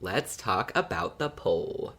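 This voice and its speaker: A man's voice: deep voice, big pitch swings, slow pace, bright, forceful tone. A man co-hosting a podcast in casual chat, speaking in an agreeable, supportive way. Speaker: podcast co-host.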